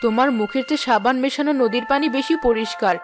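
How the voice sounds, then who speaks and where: A woman's voice: fairly high pitch, quick pace, music in the background, lightly acted. A woman playing a nagging, sarcastic village housewife in a cartoon. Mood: scornful. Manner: sarcastic, argumentative. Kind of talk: cartoon voice acting.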